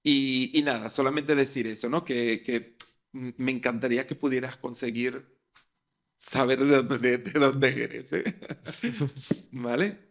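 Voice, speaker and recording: A man's voice: medium pitch, slow pace, vocal fry. A middle-aged man with a Venezuelan accent giving his opinion conversationally, repeating phrases for emphasis.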